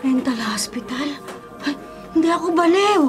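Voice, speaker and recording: A woman's voice: high voice, lively intonation, moderate pace. An actress playing a confused, mentally ill mother with dazed, childlike questioning in a Filipino radio drama. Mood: agitated. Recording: loud music bed behind voice.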